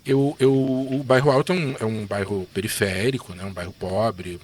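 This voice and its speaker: A man's voice: on the low side, moderate pace, a little hiss or hum. Chatty Curitiba man in his fifties. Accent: Curitiba Portuguese. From Curitiba, Brazil. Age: 50s. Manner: chatty, relaxed, frequent "né?" tags. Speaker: guest artist and illustrator. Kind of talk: casual interview storytelling.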